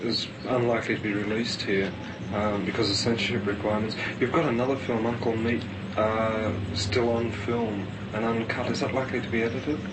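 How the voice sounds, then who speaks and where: A man's voice: mid-range voice, flat delivery, fairly quick. An Australian man asking questions as an interviewer. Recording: distant, noisy 1970s interview tape.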